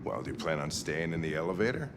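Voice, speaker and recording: A man playing a jaded older police lieutenant in a video game, with an American accent and a gruff, sardonic, blunt delivery. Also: extremely low pitch, very animated, quick pace, loud music behind the voice, full character voice. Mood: irritated.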